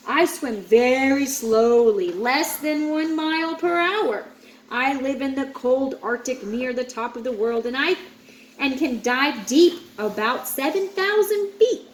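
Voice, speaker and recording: A woman voicing proud sharks who explain themselves in a children's storybook, in a measured manner. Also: high-pitched, a little hiss or hum, rough recording.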